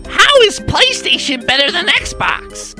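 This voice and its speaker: Young male cartoon monkey female performer, excitable wisecracker, extremely expressive pitch, clear background music. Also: slow, exaggerated voice. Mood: argumentative. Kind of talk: scripted comic cartoon dialogue.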